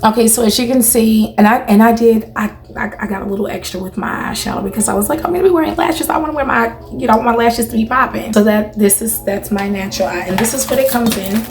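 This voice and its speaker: A woman's voice: a little high, fast, few pauses, reverberant. A woman in her thirties hosting a beauty vlog, chatting casually and familiarly to her viewers with emphatic little check-ins. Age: 30s. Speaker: beauty vlogger and channel host.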